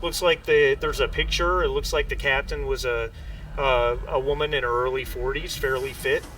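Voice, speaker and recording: A man narrating a tabletop game as game master, in a halting way with repeated starts. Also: mid-range voice, steady tone, sharp tone, far from the mic, slight background noise, low-quality recording.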